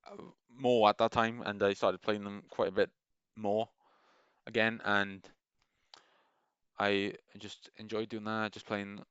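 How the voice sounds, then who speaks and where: A man's voice: lowish voice, level tone, slow pace, fairly bright tone, smooth, no room sound, muffled recording. A man talking in a casual, meandering monologue, enthusiastic and full of restarts. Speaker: gaming video creator.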